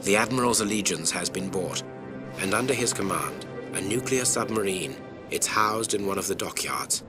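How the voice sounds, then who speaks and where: A serious, protective informant from a video game. He briefs in a low voice with sober, stiff phrasing, under loud background music. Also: lively intonation, moderate pace. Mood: grave, concerned. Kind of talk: video game cut-scene acting.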